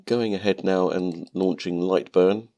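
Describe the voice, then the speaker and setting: A man narrating a calm step-by-step tutorial, sounding nasal from a cold. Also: sits low, measured pace, highs cut off. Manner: calm, methodical explaining. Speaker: maker channel host.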